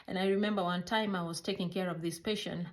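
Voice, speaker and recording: A middle-aged woman with a Kenyan accent telling personal stories in a halting, reflective way, searching for words; English is not her first language. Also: fairly low pitch, steady tone, slight background noise. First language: a Kenyan mother tongue. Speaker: healthcare worker recounting her life. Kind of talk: personal storytelling.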